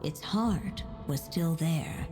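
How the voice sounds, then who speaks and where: A woman playing a solemn storybook narrator in a video game, reading with measured drama. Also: low-pitched, expressive, mellow. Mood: solemn, wondrous. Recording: music behind the voice.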